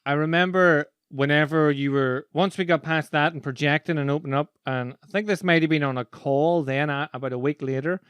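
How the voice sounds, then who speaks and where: Irish man with a smooth, dry voice. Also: on the high side, a little fast, few pauses, dry recording. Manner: animated storyteller, laughs while talking. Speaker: podcast host and speaking coach. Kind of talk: casual conversation.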